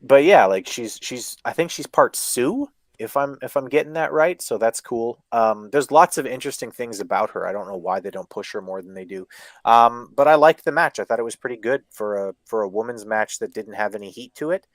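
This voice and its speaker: A man's voice: mid-range voice, fairly quick, no room sound. Male podcast co-host, rambling and emphatic, dry close sound. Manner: rambling, emphatic, swears casually. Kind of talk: casual live podcast chat.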